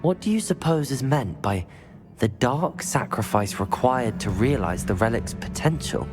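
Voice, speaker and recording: A young man acting as a calm, pragmatic teenage student wizard in a video game, with a measured, polite delivery. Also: medium pitch, lightly acted. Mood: resolute. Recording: faint music behind the voice.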